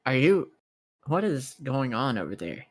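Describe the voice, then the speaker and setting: A man's voice: medium pitch, very animated, a little slow, crowd noise. A man playing a tabletop roleplay, chatting casually in a slangy, sweary way. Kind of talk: casual chat during a game.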